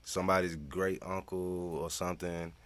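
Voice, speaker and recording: Deep, laid-back man, thirty-one. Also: steady tone, moderate pace, a bit bright, dry recording. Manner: laid-back, drawling, slangy. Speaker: podcast guest. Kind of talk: casual chat.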